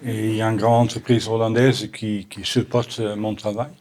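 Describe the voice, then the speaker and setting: A middle-aged man from the Netherlands with a Dutch accent, answering audience questions at a conference in halting French full of restarts. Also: lowish voice, little pitch movement, darkish tone. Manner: halting, many restarts and repeated words. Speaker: botanical garden owner answering questions. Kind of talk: Q&A after a conference talk.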